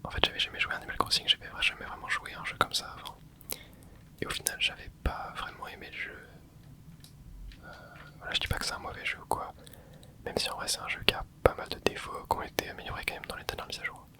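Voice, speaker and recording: A young adult ASMR host whispering casual, rambling chat while playing a video game, with many hesitant fillers. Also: quick pace. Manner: soft unvoiced whisper throughout. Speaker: ASMR gaming channel host. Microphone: close mic.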